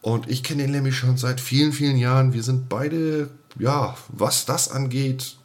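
A man's voice: medium pitch, steady tone, normal speed. A man hosting a casual podcast, chatty and jokey, often repeating words for emphasis. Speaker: podcast host.

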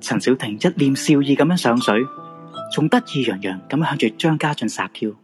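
A man's voice: medium pitch, expressive, normal speed, faint music behind. A man with a Hong Kong Cantonese accent narrating a supernatural radio drama in a dramatic storytelling style.

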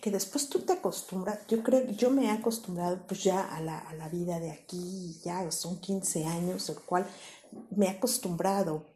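A woman's voice: fairly low pitch, normal speed, mellow. A woman with a Mexican accent, a podcast guest speaking casually from her own personal experience. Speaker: interview guest, coach.

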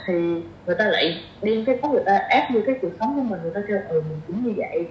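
A woman's voice: mid-range voice, expressive, very fast, muffled recording, a little hiss or hum. A young woman with a southern Vietnamese accent, chatting casually as a podcast guest and thinking aloud with repeated phrases. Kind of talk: casual conversational podcast chat. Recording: roomy echo, faint music behind. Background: faint music.

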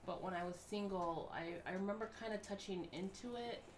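A woman's voice: mid-range voice, fairly quick, slight background noise. A woman in her late thirties on a casual podcast, hesitant and laid back with many "um"s. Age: 30s. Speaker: co-host. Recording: echoey room.

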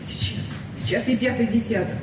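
High-voiced girlish teenager played by an actress. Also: close to an everyday voice. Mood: frank, dismissive. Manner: eager, halting, repeats words. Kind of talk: Soviet stage-trained radio play acting. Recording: echoey, noisy old 1970s radio recording, distant.

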